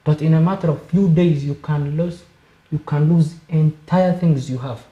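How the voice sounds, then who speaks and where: Panel guest from Somalia, man, measured delivery, dark tone, roomy studio echo. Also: fairly high pitch, steady tone, dull audio. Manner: measured, repeats words when searching. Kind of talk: panel discussion.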